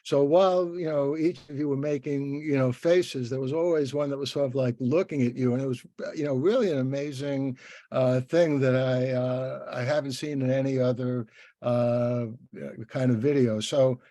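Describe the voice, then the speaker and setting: A man's voice: mid-range voice, steady tone, normal speed, mellow, dry recording, studio quality. An older man conducting a conversational interview, speaking hesitantly and often stammering over repeated words.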